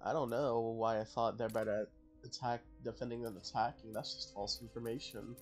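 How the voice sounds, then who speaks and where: A man's voice: mid-range voice, steady tone, fairly quick. A man talks over his strategy game in a loose, thinking-aloud way, often stopping to correct his own math. His voice sits in a middle range. Faint game music plays underneath. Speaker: gaming channel host. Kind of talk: casual let's-play commentary.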